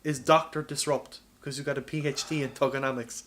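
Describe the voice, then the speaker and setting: A man's voice: mid-range voice, steady tone, fairly quick, crowd noise. A man hosting a podcast, casually and insistently explaining a comedic scheme, with some swearing. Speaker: podcast host.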